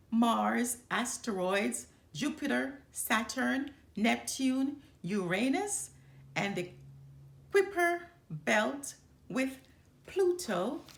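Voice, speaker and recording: A showy young bear cub boy from a children's storybook, acted out by a woman. He brags emphatically and is easily annoyed, in a high, lively voice with slow, deliberate pacing. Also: gravelly, echoey room, full character voice. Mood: showing off.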